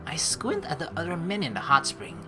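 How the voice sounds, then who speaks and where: A woman hosting a let's play, reading game narration aloud and adding casual, expressive, joking commentary. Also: low-pitched, brisk. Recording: soft game music behind. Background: game music.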